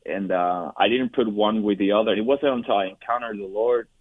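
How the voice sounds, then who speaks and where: A man from Puerto Rico in his forties, a guest telling his personal story in an interview, talking haltingly with frequent restarts and fillers. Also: on the low side, no echo. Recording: dry, tinny phone-like line.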